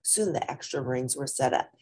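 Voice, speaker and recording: A woman reading a children's story aloud in an animated, dramatic, expressive narrating style. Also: a bass voice, normal speed, slightly rough.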